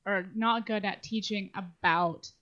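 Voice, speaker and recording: A woman's voice: medium pitch, steady tone, measured pace, sharp tone. Casting: female podcast co-host, mid-range voice, loose conversational delivery, slightly muffled recording. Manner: informal, swearing. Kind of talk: casual discussion.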